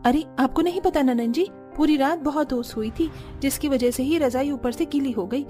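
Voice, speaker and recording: A woman's voice: high-pitched, moderate pace, warm tone, soft background music, lightly acted. A woman voicing a long-suffering young daughter-in-law in a cartoon, plaintive and polite. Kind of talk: Hindi cartoon dialogue acting.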